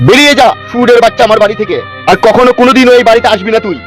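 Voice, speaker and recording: A man's voice: very high voice, racing. A man voicing a middle-aged, hot-tempered father in a comedy cartoon, ranting and scolding over music. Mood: furious. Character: furious, foul-mouthed protective village father. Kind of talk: melodramatic comedy cartoon dubbing. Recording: music under the voice.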